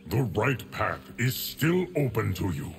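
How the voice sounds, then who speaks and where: An actor voicing a solemn, stoic, ageless guardian of time in a video game, formal and measured with a gravelly voice. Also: male voice, on the low side, very animated, soft background music.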